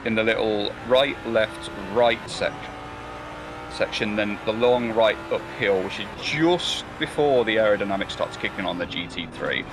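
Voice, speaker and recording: A man's voice: lowish voice, normal speed, background noise. A man commentating a sim race live, rambling and hesitant, with many fillers and restarts. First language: not German or Belgian.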